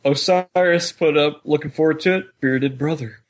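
A man's voice: fairly high pitch, normal speed. A man talking casually as a podcast co-host, with an informal, easygoing manner.

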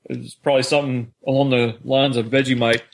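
A man with an average-pitched voice, talking casually as a podcast co-host. His delivery is conversational and informal, and he often voices little quotes as he goes. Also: level tone, fairly quick, gravelly. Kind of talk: casual chat about an audio drama.

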